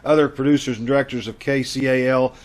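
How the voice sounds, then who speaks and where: A man's voice: mid-range voice, steady tone, quick pace. A man hosting a show over a video call, chatting in a folksy, casual way as he welcomes and announces. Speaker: host.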